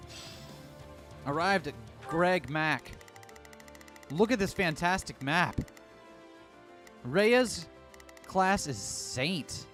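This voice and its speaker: Lively, higher-pitched man streaming a game. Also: drawn-out words, long pauses, soft background music. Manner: joking, playful asides. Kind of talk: casual live gameplay commentary.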